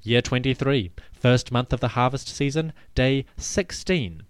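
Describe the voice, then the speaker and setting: A man's voice: medium pitch, a little slow, smooth, dry recording, clean studio sound. A man narrating scripted history as a dramatic, stately and emphatic storyteller. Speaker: host and narrator.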